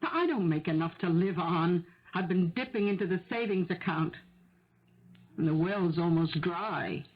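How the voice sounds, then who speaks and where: A woman's voice: fairly low pitch, moderate pace, lightly acted. An actress playing a middle-aged working mother, weary and plain-spoken, in a 1970s radio drama. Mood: weary, reproving. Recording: dull vintage radio recording.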